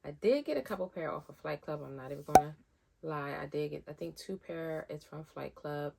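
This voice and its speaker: A woman's voice: fairly quick, creaky, very noisy. A woman vlogger, chatting casually through a sneaker unboxing, a little nasal from a head cold. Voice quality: deep voice. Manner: chatty, rambling with fillers.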